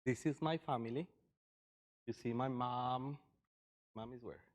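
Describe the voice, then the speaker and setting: A man's voice: mid-range voice, a little slow. A man from Costa Rica giving an informal presentation, speaking in a loose, somewhat hesitant way. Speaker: session presenter, migration researcher.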